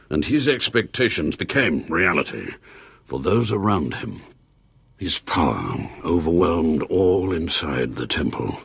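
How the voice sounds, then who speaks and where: Husky, deep Sith lord. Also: male voice, a bit bright, no room sound, heavily acted. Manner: formal, theatrical, ominous. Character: grave, anxious Sith lord scholar, older man. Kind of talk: video game cutscene acting.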